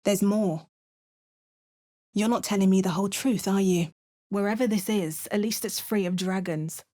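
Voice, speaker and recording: A woman voicing a wry, watchful female adventurer for a video game. She makes understated remarks and narrates events calmly, like a storybook. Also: medium pitch, moderate pace, no room sound, studio quality, lightly acted. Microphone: close studio mic.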